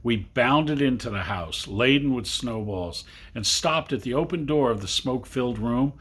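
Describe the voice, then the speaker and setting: A man reading a literary story aloud to children, with dramatic, deliberate phrasing and long pauses. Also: medium pitch, lively intonation, a bit bright, slightly rough. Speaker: reader. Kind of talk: expressive storybook narration for children.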